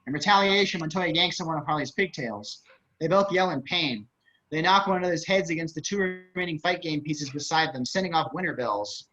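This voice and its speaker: A man's voice: fairly high pitch, bright, forceful tone. A man reading out stage directions as narrator of a script table read over a video call. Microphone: computer on a video call. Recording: dull, lo-fi video-call audio.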